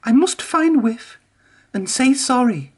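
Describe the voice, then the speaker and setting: Emily is a fretful little girl steam engine, put on by a storyteller with a high, breathless voice that rides well above a plain speaking tone. She rises and falls a great deal, slow and earnest, like a children's storybook read aloud. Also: animated, husky, full character voice. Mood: remorseful. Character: anxious young female steam engine. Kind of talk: storybook character voice.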